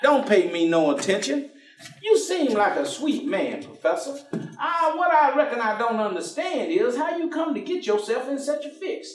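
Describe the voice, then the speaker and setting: An actor in a staged play reading, playing a warm, stubborn, devout working-class man with a folksy, teasing manner. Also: very high-pitched, sing-song. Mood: gently probing. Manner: folksy, teasing, with chuckles and drawn-out phrases. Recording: roomy echoing stage, distant microphone.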